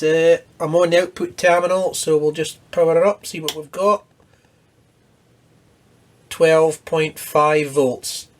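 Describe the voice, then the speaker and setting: A man hosting a casual hands-on repair walkthrough, explaining each step in a matter-of-fact way. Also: a little high. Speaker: host of an electronics repair channel.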